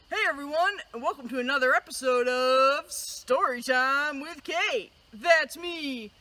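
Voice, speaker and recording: A woman's voice: high voice, sharp tone. A woman hosting a children's story time in a theatrical, playful, exclamatory way. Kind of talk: theatrical children's storytelling, acted skits and jokes.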